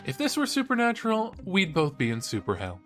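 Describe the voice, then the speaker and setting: A man's voice: high voice, huge pitch swings, a little fast, a bit bright, soft background music, exaggerated voice. A man playing an eager young college podcaster and ghost believer in an audio drama, with a showy, playful delivery. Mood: showy, enthusiastic. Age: young adult. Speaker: podcast co-host character.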